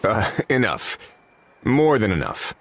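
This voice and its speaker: An actor playing a watchful, composed government investigator in a video game, with a measured, dryly polite manner. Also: male voice, lowish voice, big pitch swings, slight background noise, exaggerated voice. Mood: politely probing.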